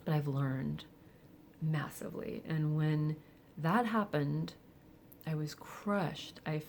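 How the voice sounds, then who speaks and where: A woman of forty speaking candidly and reflectively in a personal vlog, with soft laughs and hesitant fillers. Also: low-pitched, fairly even intonation, takes it slowly, gravelly, a little hiss or hum. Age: 40s. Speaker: vlogger. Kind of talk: personal confessional monologue.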